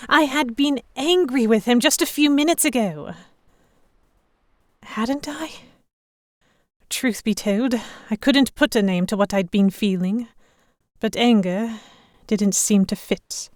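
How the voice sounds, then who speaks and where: A woman's voice: on the high side, slow, darkish tone, dry recording. A woman playing an earnest, emotive noblewoman heroine who narrates an audio drama in the first person. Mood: flustered, vexed. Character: delicate young noblewoman, vexed, earnest, stubborn romantic heroine.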